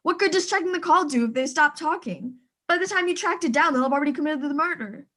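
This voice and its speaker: A woman's voice: high voice, fast, pushed and bright. A woman playing a fretful, imperious bedridden wife in a suspense radio play, insistent and agitated. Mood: anxious, insistent.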